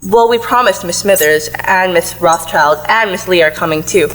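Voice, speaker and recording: A woman's voice: fairly low pitch, moderate pace, a bit bright, faint music behind, natural voice, rough recording. A woman acting a firm, prim, overprotective mother in a contemporary audio play. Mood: strained. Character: overprotective, fussy mother of a teenage girl.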